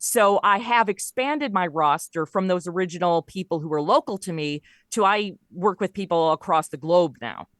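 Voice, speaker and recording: Female podcast guest, lowish voice, dry close sound, chatty and animated, casual interview delivery. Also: moderate pace, hardly any pauses, dry recording. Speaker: podcast guest, audio drama creator and voice performer.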